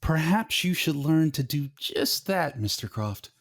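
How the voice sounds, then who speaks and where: A man's voice: fairly high pitch, animated, unhurried. A man playing a jolly old prosecuting attorney in an audio drama, smug and formal. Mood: smug, reproving.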